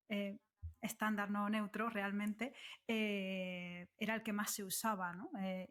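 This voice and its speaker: A woman co-hosting a casual podcast chat, speaking in a measured way with many hesitations. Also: mid-range voice, fairly bright tone.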